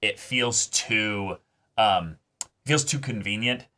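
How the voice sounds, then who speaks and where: A man's voice: lowish voice, slow, bright, forceful tone. A man in a casual discussion as a reaction show host, reflective and hesitant as he searches for words. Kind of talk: casual discussion of an anime episode.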